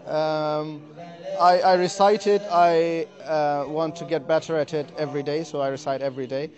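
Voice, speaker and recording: A man who is a competition entrant, answering interview questions earnestly and hesitantly, with many pauses and repeated words. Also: on the high side, fairly even intonation, darkish tone. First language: not Arabic. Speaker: competition participant. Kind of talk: vox-pop interview answers. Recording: chattering crowd behind.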